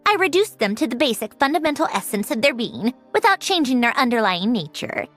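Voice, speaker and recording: Haughty, high-voiced young genius. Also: female voice, very animated, fairly quick, a bit bright. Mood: smug, lecturing. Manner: haughty, dry, lecturing. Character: proud, aloof, sharp-tongued young female genius. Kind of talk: video game voice acting. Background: background music.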